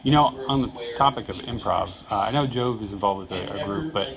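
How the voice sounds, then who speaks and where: A man's voice: on the low side, fairly quick. A man interviewing fellow participants in a casual, chatty backstage style. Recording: dull sound amid loud crowd chatter. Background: busy crowd chatter.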